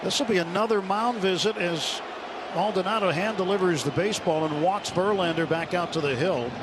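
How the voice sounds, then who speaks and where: American guy doing live baseball radio play by play, pretty high voice, stadium noise behind him. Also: fairly quick. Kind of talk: live sports commentary. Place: broadcast booth at a baseball stadium. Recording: noisy live radio ballpark broadcast.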